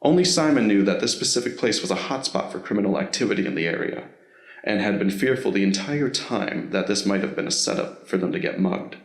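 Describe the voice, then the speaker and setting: A man's voice: lowish voice, animated, a little fast, gravelly. A man reading scripted narration for an audio drama story. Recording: roomy, echoing voice recording.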